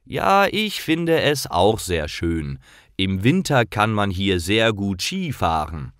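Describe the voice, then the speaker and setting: A man plays a welcoming young man who likes to explain things in a learners' storybook. He speaks helpfully and slowly, with animated intonation. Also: lowish voice, no echo, studio quality, heavily acted. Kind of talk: acted storybook dialogue for language learners.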